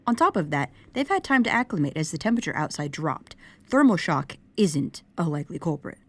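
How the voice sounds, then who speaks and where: A woman acting as a measured, analytical investigator in an audio roleplay. She has a fairly low voice with very lively intonation, and words things formally and technically.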